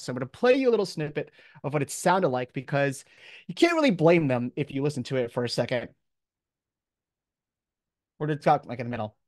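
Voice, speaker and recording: A man's voice: a little high, no room sound, clean studio sound. A man with an American accent giving an informal online lecture as a professor, in a casual, colloquial, explanatory manner. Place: video call, close dry sound.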